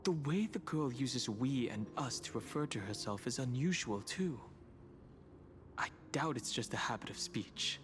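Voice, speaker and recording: An actor playing a thoughtful, reserved traveler in a video game, with measured, formal and dryly composed delivery. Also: male voice, medium pitch, expressive, background noise, close to an everyday voice. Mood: pensive.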